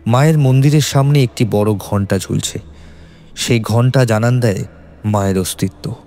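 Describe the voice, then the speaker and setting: A man's voice: mid-range voice, normal speed, dark tone, faint music behind. A man with a Bengali accent narrating a scripted horror story in a dramatic, suspenseful way.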